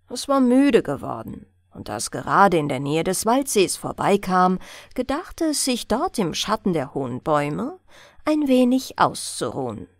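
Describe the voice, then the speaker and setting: A woman reading a bedtime fairy tale in a soothing, expressive storytelling manner. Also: on the low side, no room sound. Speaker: audiobook narrator.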